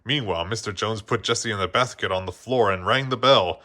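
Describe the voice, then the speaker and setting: A man's voice: lowish voice, a little fast, pushed and bright. A man reading a children's story aloud as scripted narration, now and then stumbling over a word. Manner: occasionally stumbles over words and rereads. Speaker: storybook reader.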